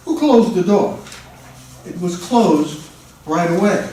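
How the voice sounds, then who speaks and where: An actor in a dramatic stage reading, playing a stern magistrate who questions and accuses insistently. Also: male voice, high-pitched, animated, slow, mellow, slight background noise. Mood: pressing. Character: stern, accusing magistrate interrogating a murder suspect. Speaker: reader playing the magistrate in a play. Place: large indoor room at a college festival reading. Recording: echoey hall, far from the mic.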